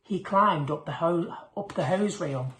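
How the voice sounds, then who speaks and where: Low-voiced woman reading a bedtime story, echoey room. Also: a little slow, slight background noise. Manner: expressive, acts out dialogue and sound words. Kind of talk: children's picture book read-aloud.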